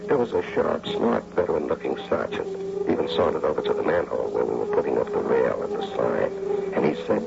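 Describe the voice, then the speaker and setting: An actor playing a wry amateur bank robber who narrates his own heist theatrically, with dramatic pauses, in old-time radio drama style. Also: a bass voice, animated, gravelly, heavily acted. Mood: wry storytelling. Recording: noisy, muffled radio drama recording.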